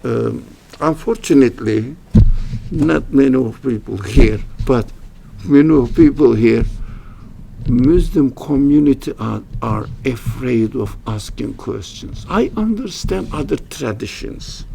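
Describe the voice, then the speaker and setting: A man with a Turkish accent, a native Turkish speaker, giving an informal religious studies lecture in halting English, restarting phrases and making wry jokes at his own expense. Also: mid-range voice, expressive, creaky, warm tone, slight background noise, rough recording. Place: lecture room at a university religious center.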